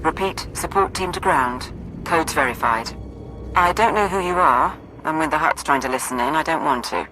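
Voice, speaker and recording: An actress playing a brisk, wary military support officer in a video game, terse and businesslike. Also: female voice, low-pitched, sharp tone, faint music behind, low-quality recording. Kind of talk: video game cutscene acting.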